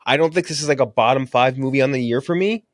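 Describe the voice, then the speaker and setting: A man's voice: mid-range voice, fast, no room sound, studio quality. A man hosting a podcast, chatting casually and bluntly about films in an informal way. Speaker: podcast host reviewing movies. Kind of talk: casual movie-review chat.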